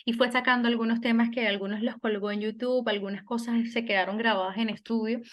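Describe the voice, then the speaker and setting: A woman's voice: on the high side, fairly even intonation, fast, dull audio. A middle-aged woman from Venezuela, a singer and German teacher, talking thoughtfully in an interview with frequent restarts. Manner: reflective, many restarts and fillers. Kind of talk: conversational interview.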